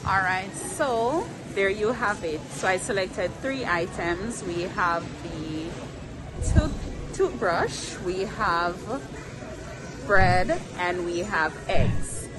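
A woman's voice: mid-range voice, big pitch swings, slow, loud music behind the voice, poor audio. A young woman from Jamaica, vlogging casually and upbeat as she talks straight to her phone camera while shopping. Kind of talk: casual travel vlog. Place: inside a car, then store aisles. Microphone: phone held at arm's length.

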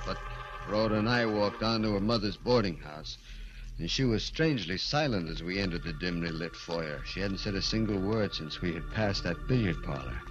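An actor playing an anguished, desperate former midget turned giant, narrating and speaking in a melodramatic 1940s radio thriller style. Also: male voice, low voice, lively intonation, moderate pace, muffled recording. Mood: brooding. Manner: melodramatic, intense. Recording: 1940s radio recording with organ music.

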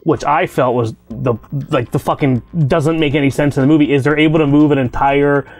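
A man in his thirties with a slightly raised pitch talks casually and bluntly. He recaps and criticises in loose panel-show chat. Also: steady tone, brisk, darkish tone, soft background music. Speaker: movie review show panelist. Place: small indoor set lined with tapes.

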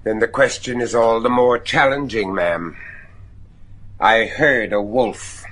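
Husky, earnest American judge. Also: male voice, medium pitch, slow, fairly bright tone. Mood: courteous, probing. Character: earnest American judge, reflective, courteous, probing. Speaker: actor. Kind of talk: gothic horror radio drama acting.

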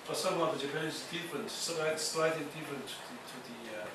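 A man giving a university lecture, speaking haltingly with many fillers and restarts. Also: a little high. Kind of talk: classroom lecture. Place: lecture hall. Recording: echoey lecture hall, distant mic, noisy.

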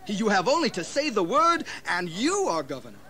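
An actor playing an eager, flattering colonial conspirator in a historical audio drama. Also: male voice, sits high, moderate pace, exaggerated voice. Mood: eager, persuasive. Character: eager, flattering ally urging a rebel on.

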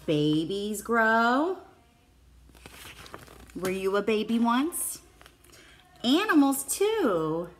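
Very slow-paced woman reading to children. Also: fairly high pitch, a little hiss or hum. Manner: simple child-directed phrasing with questions to listeners. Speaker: preschool teacher. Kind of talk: reading a picture book aloud to young children. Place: small classroom, indoors. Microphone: phone or tablet at arm's length.

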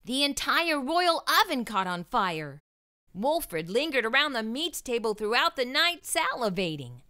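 A woman reading a children's storybook aloud as an expressive narrator. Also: on the high side, measured pace.

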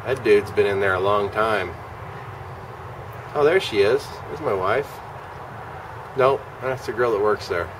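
Low-voiced man muttering wry commentary. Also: measured pace, long pauses. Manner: dry, wry running commentary, muttered asides. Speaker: vlogger. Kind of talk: rambling vlog commentary. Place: outdoors at a shopping center parking lot. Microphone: handheld camera at arm's length. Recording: handheld camera outdoors with traffic noise. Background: traffic and outdoor ambience.

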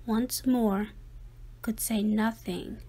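Female storybook reader, high register, slow and expressive delivery, children's rhyming read-aloud. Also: breathy edge. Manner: dramatic, deliberate phrasing.

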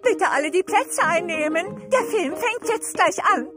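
A kindly grown-up cartoon animal lady. Her voice is very high and animated, busy and helpful, with music behind. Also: a little slow. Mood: friendly. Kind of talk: children's audio play cartoon acting.

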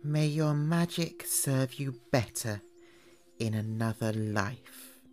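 A man voicing a menacing young girl in a dark fairy tale, formal, measured and ominous. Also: mid-range voice, soft background music, pitched above the performer's own voice, natural voice. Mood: calm menace.

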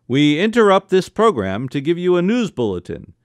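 A man's voice: on the high side, very animated, moderate pace, no room sound. A man voicing an earnest television anchorman in a story, delivering a formal news bulletin. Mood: urgent, serious.

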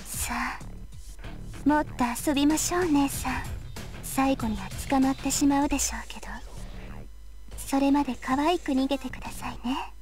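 A woman voicing a corrupted young sorceress in a Japanese visual novel, softly polite with teasing, cruel menace. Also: high voice, fast talker, pauses often, faint music behind, lightly acted. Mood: playful cruelty.

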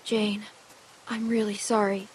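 A woman voicing a gentle, brave, sincere young girl survivor with a husky voice in a video game drama. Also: mid-range voice, flat delivery, unhurried, slight background noise, lightly acted.

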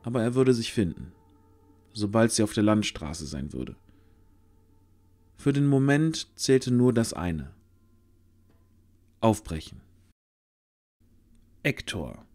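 A man narrating a scripted fantasy audio drama, using dramatic pauses. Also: fairly low pitch, slight background noise. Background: music.

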